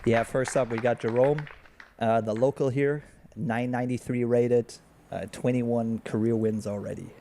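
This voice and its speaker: A man's voice: medium pitch, fairly even intonation, moderate pace, background noise. A man co-commentating on a disc golf round, chatting and reading out player stats. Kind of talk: sports commentary.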